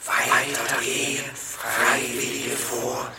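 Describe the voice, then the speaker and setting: A man's voice: mid-range voice, level tone, takes it slowly, gravelly. A man playing a sinister disembodied voice in a German radio play, chanting ominous slogan-like commands. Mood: menacing. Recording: very echoey, distant, heavy noise, clicks.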